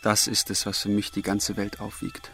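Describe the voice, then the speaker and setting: An emotional young gentleman of a radio play, devoted and gallant. His voice is lowish and soft, kept in an even, gentle line with fervent earnestness, over faint music. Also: normal speed, creaky. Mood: solemn, pleading. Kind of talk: German radio drama acting.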